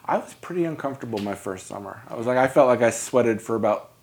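A man's voice: medium pitch, animated, fast talker. Chatty man hosting a podcast. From New York. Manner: chatty, joking asides. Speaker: podcast host. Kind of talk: conversational interview.

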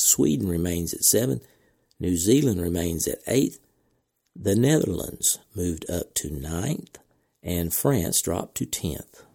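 A man hosting a podcast, reading announcements in a measured, deliberate way with casual asides, his voice cracking from a slight cold. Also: deep voice, vocal fry, mellow, husky. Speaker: podcast host.

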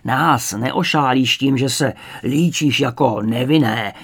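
A man's voice: mid-range voice, expressive, a little mellow, close to an everyday voice. A furious communist secret police interrogator in an audiobook drama, acted out by a male reader. He bullies and harangues in slogans, barking out each accusation with fanatical contempt. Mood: menacing accusation.

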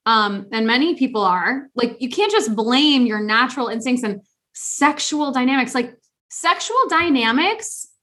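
A young woman co-hosts a chatty podcast, animated and sarcastic, with laughter breaking in often. Her voice sits a little high and sounds bright and forward. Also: a little slow. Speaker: podcast co-host. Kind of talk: casual chat.

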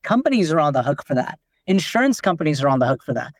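Nepali-born founder guy, kinda high voice, says "like" a lot, dry close mic. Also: very animated, fast, no echo. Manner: frequent "like" fillers, explanatory. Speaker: company founder and CEO, guest. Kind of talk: business podcast interview.

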